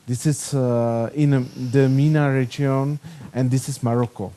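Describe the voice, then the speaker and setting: A man from the Czech Republic with a Czech accent, speaking halting non-native English with many fillers at a conference panel. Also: mid-range voice, fairly even intonation, mellow.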